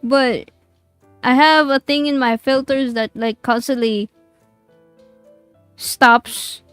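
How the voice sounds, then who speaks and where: A woman streamer with a high, squeaky voice chats and giggles with her viewers while she plays. She rambles loosely from one thought to the next. The sound is close and dry, with faint game music underneath. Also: fairly even intonation, takes it slowly, a bit bright, clear, resonant tone, no echo. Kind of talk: casual game-stream chatter.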